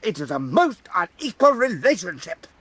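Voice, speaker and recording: An actor playing a fretful, self-pitying, vain ruler in a radio drama, with pompous, theatrical speechifying and a husky voice. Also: male voice, sits high, big pitch swings, moderate pace, fairly bright tone, no room sound, full character voice. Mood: aggrieved, self-pitying.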